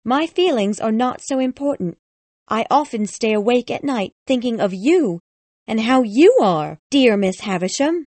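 A woman as a fawning, higher-voiced lady relation. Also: lively intonation, slow, no echo. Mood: plaintive, fawning. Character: fawning, self-pitying lady relation, falsely caring. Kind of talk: acted audiobook dialogue.

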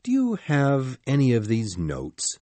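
A steady middle-aged lawyer who doubts what he hears, as a woman reader plays him, speaking in a slow, husky, lowered voice. His questions are measured and probing. The recording is dry and close. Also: no room sound, heavily acted. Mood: doubtful, probing. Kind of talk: storybook reading.

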